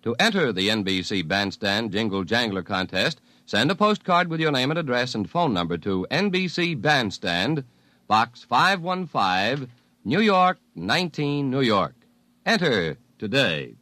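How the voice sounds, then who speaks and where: Male vintage network promo announcer, forceful bright tone, lively intonation. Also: mid-range voice, unhurried, no room sound. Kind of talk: 1950s radio promo read.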